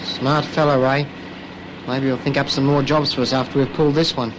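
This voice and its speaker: A nervous junior crook with an Australian accent acted by a man in a radio crime drama. His mid-pitched voice is hesitant and grumbling, heard over clear noise and vehicle sound. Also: level tone, a little fast, lightly acted. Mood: watchful.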